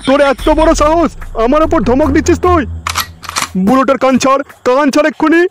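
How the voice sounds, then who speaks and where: A man's voice: very high-pitched, a little fast, background noise. A man voicing a bossy, threatening station bully in a comedy cartoon. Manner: brash, threatening, scolding. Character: bossy male bully bystander at a railway station.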